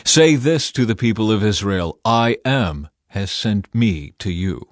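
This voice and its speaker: A man voicing a solemn, commanding God in an authoritative, measured scripture reading. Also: fairly low pitch, expressive, dry recording, studio quality. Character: God, solemn commanding male deity speaking from fire.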